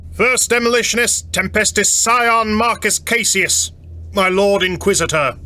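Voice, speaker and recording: A man acts a brash soldier in a sci-fi audio drama, addressing a superior. His voice is raised and forceful, proud yet nervous. He snaps out formal military phrases with awkward emphasis. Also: sits high, unhurried, pitched above the performer's own voice. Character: brash, nervous male trooper, proud but deferential soldier.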